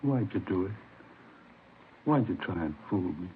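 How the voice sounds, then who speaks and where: A man's voice: deep voice, lively intonation, unhurried. An actor playing a heartbroken, weary old grandfather in a 1950s radio drama. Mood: wounded, weary. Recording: tinny, noisy old radio recording.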